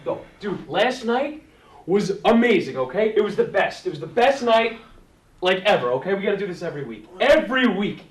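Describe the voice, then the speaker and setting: A young man playing an excitable, careless, slangy party buddy in a student stage skit. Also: sits high, fairly quick. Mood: hyped, excited. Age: young adult. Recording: echoey room from far off, hissy.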